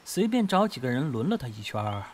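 A man's voice: medium pitch, sing-song, quick pace, a little hiss or hum, natural voice. A man playing a crude, menacing, mocking gangster kidnapper in an audio drama, taunting and foul-mouthed. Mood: mocking menace.

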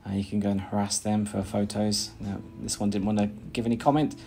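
British guy in his 30s rambling on camera, lots of uhs, kinda low voice. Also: level tone, brisk, dark tone. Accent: British English. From Britain. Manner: chatty, rambling, many "uh"s and restarts. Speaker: vlogger. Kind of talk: casual vlog chat and voice-over narration. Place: small plain room indoors.

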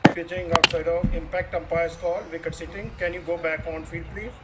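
Umpire guy on the review feed, short clipped calls, kinda high, noisy distant line. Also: level tone, moderate pace. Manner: clipped, procedural instructions. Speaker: match umpire on the review channel. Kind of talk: umpire review call over a stadium feed.

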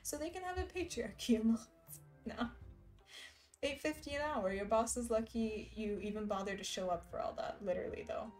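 A woman's voice: a little high, moderate pace, a little mellow, faint music behind. A young woman streamer chatting casually and reading viewer messages aloud while she embroiders, with frequent little laughs. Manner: reads chat messages aloud, chatty and giggly. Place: indoor room.

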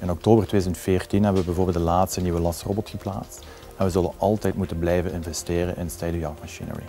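Flemish man, 40s, low and even voice, warm dark tone, confident corporate talk, faint music behind. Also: quick pace. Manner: confident, promotional phrasing. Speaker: company executive. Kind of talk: corporate interview. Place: office with glass wall. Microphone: lapel mic.

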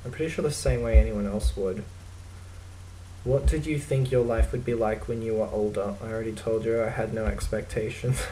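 A young man with an Australian accent, talking casually to his webcam in a dry, deadpan, self-mocking way while answering a list of questions. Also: lowish voice, brisk, a little hiss or hum, low-quality recording. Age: young adult. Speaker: vlogger. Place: small indoor room.

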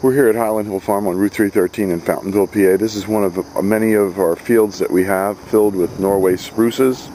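Low-voiced man pitching his tree farm. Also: steady tone, dark tone, slight background noise, poor audio. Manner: brisk, salesman-like listing. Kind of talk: spoken advertisement pitch.

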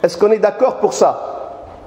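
An older man preaching a mosque lecture into a handheld mic, lively, and often asking his audience questions directly. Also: sits high, measured pace, a little hiss or hum. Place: mosque hall. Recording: echoey hall with long reverb.

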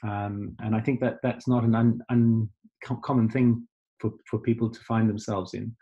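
A middle-aged man from Zimbabwe talks on a video call as a life coach guest. His voice sits low and mellow. He pauses and restarts often as he works through his thoughts. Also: level tone, lo-fi sound. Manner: halting, many fillers and restarts, thoughtful. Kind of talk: casual video call conversation.

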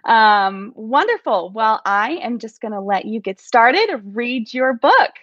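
A woman's voice: a little high, expressive, slow, a bit bright, no room sound. Female online host, thirties, introductions, dry and slightly lo-fi video-call sound. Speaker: host, community co-owner. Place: home room, video call.

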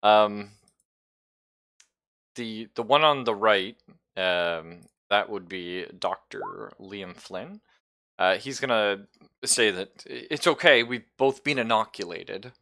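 A man's voice: lowish voice, takes it slowly, creaky, pushed and bright. A man casually narrating an online tabletop game as game master, in a joking, loose way.

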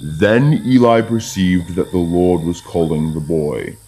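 A man's voice: sits low, takes it slowly, dark tone. A man narrating scripture from a script in an audio drama.

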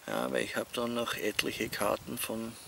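A middle-aged man with an Austrian accent talking casually and haltingly to camera as an outdoor vlogger. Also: medium pitch, flat delivery, creaky, a bit bright. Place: outdoors among leafy trees. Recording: outdoor ambient noise.

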